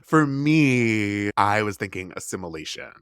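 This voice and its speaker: A man with a deep voice hosts a conversational interview podcast. He is encouraging and reassuring, chatting casually as he draws out his guest. The recording is very dry and free of echo. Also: animated, measured pace, fairly bright tone, clean tone. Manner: encouraging, reassuring, frequent brief acknowledgements. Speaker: podcast host.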